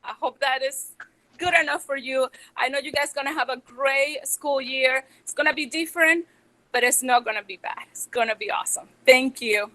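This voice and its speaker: Nervous Venezuelan woman, bright voice. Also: high-pitched, poor audio. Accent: Venezuelan Spanish. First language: Spanish. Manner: nervous, halting with many restarts. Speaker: small business owner. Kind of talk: presentation to educators.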